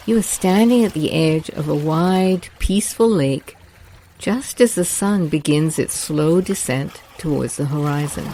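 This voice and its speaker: A woman guiding a meditation in a slow, soft, soothing voice. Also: deep voice, slight background noise. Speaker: meditation guide. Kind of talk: guided meditation narration.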